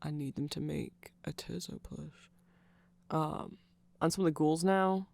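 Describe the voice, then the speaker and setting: A performer voicing a nerdy, awkward, eager vampire friend in a rambling, hesitant ASMR roleplay, halting and self-conscious. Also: mid-range voice, steady tone, vocal fry, a little mellow. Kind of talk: rambling ASMR roleplay, explaining fan lore to a listener.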